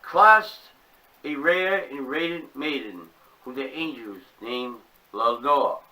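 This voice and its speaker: A man giving a theatrical poetry reading, swinging between loud declaiming and hushed low tones. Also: slow pace. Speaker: channel host reading a poem. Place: small home room set up as a paranormal museum. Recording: distant mic with faint music behind.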